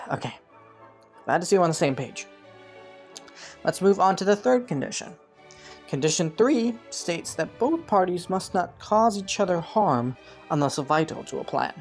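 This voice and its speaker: Young prince female performer: low voice, courtly and calculating yet easily flustered, intimate roleplay addressed to the listener, music underneath. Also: lively intonation, moderate pace, pauses often. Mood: businesslike, composed. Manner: formal courtly phrasing with flustered stammers.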